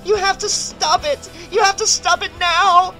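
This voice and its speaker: A desperate young woman as played by a male audiobook narrator, pitched very high and shrill. She is panicked and insistent, begging to be heard. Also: flat delivery, unhurried, pushed and bright, faint music behind, exaggerated voice. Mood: frantic.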